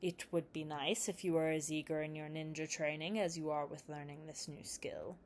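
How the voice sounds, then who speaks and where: A woman's voice: low-pitched, steady tone, moderate pace, slight background noise. A woman voicing a mildly annoyed old male martial arts master, dry and reproving, in a story reading. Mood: dry annoyance.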